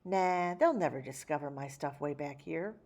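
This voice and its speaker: A woman voicing a soft-hearted male street dog who loves poetry, in an earnest, expressive storybook style. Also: sits low, moderate pace, voice pushed down. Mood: dismissive.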